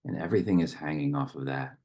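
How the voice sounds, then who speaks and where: A man reading poetry and leading a guided meditation in a gentle, unhurried manner with long pauses. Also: extremely low pitch.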